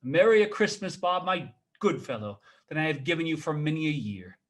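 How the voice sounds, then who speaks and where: A man performs an old miser turned kindly, in a storybook reading. He stammers and hesitates, giddy one moment and playfully gruff the next, with a high, widely swooping pitch. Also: lively intonation, lightly acted. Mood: overjoyed. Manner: stammering, halting.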